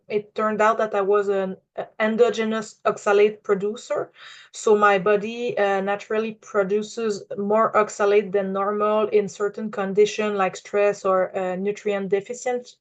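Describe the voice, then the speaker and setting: A woman's voice: medium pitch, muffled recording. Hesitant young Quebec French woman. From Quebec, Canada. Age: 20s. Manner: hesitant, many fillers and restarts, searching for English words. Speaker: client giving a testimonial. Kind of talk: unscripted personal testimonial.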